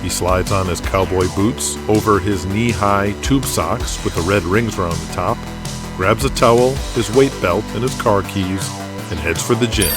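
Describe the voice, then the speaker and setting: A low-voiced man delivers dramatic scripted narration, setting scenes like an audio drama storyteller. Music plays clearly behind his voice. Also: normal speed.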